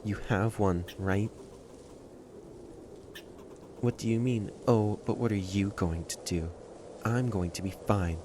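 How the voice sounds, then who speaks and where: A man playing a proud, prickly stray catboy who hides his loneliness, in an emotional audio roleplay with a haughty, halting delivery. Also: fairly low pitch, fairly even intonation, a little mellow, slight background noise, close to an everyday voice. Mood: flustered, stoic. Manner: tsundere, haughty, defensive, halting.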